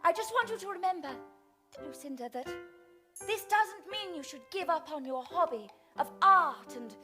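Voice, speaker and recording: Fairy godmother, high pitch, reassuring, encouraging, pantomime stage show, music backing. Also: expressive, normal speed. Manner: encouraging, gentle advice-giving. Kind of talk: improvised stage pantomime comedy. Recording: music behind.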